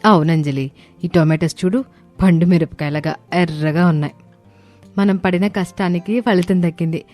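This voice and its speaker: A woman's voice: fairly low pitch, steady tone, normal speed, darkish tone, soft background music, close to an everyday voice. A woman voicing an earnest, eager young daughter-in-law in a dramatized story. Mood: delighted.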